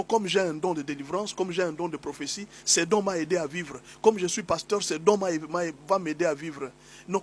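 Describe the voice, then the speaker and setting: A man with a cold and a slightly hoarse voice, preaching a sermon as a pastor, emphatic and repetitive as he exhorts his listeners. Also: fairly high pitch. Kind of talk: sermon teaching.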